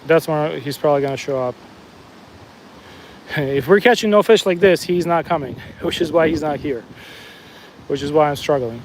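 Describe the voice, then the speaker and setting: Fishing-streamer host: Jersey-accented man, a touch high-pitched, easygoing running chatter outdoors, faint traffic hum behind. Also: normal speed, pauses often, low-quality recording. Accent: New Jersey. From New Jersey. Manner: chatty, answers viewer comments, frequent restarts. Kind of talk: casual live-stream chat while fishing. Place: outdoors at a fishing spot, walking the bank.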